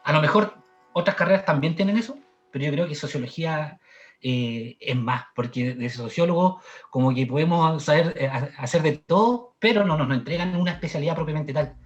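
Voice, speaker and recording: Chilean sociologist guest, halting conversational delivery, higher-pitched, faint music under muffled audio. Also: male voice, animated. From southern Chile. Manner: halting, many restarts and fillers. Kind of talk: conversational interview answer.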